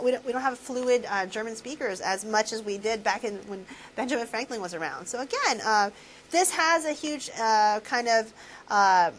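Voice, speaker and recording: A woman's voice: slight background noise, rough recording. A woman professor giving a recorded lecture, asking students questions and tagging lines with "right?". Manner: animated, full of questions to students and "right?" tags; many fillers and restarts, swinging pitch. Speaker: university professor.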